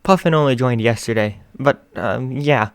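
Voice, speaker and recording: A man's voice: medium pitch, normal speed, clear, resonant tone, no echo, studio quality, natural voice. A man reading aloud, voicing a shy teenage boy who stammers nervously.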